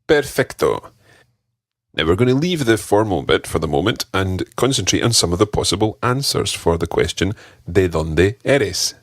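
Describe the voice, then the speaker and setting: A man's voice: low voice, lively intonation, measured pace, no echo, clean studio sound. A man teaching a podcast language lesson, patiently modelling pronunciation and repeating drills for a learner. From Scotland.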